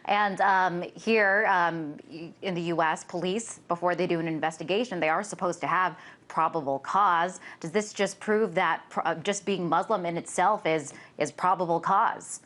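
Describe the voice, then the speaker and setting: A woman's voice: on the low side, fairly even intonation, normal speed, hardly any pauses, a bit bright. A woman hosting a broadcast news interview, sometimes hesitant as she puts her questions. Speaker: news show host interviewer.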